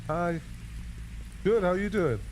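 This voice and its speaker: A man's voice: high voice, animated, slow, darkish tone, slight background noise, rough recording. A man who is a guest on a stream, bantering playfully and teasing the host. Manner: playful, teasing, calls people bro and guys. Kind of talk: casual banter.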